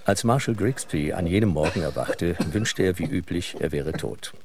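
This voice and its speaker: A man narrating a dark thriller as the scripted storyteller of an audio drama. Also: low-pitched, moderate pace. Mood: sober. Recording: clear background noise.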